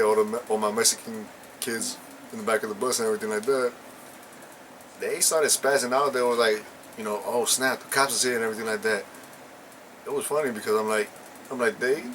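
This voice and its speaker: A man's voice: mid-range voice, brisk, a bit bright, slight background noise, poor audio. A young man chatting casually and telling a rambling story, full of slang and fillers. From Puerto Rican and Ecuadorian family. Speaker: co-host.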